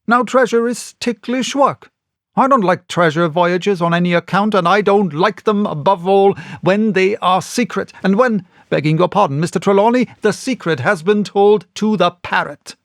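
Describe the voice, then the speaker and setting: A sharp, stern ship's captain, as a male reader acts him out in a storybook. He speaks bluntly and formally, with clipped insistence and a raised pitch, recorded close and dry. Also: moderate pace, no room sound. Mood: grim, insistent. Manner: plain-spoken, clipped, insistent. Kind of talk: audiobook character reading.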